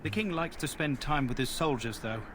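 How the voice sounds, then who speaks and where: A man playing a friendly, gossipy soldier in a fantasy video game, with a folksy, chatty delivery. His voice sits at a middle pitch. Clear background noise runs under his lines in the game audio. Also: normal speed, lightly acted. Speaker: soldier in a war camp.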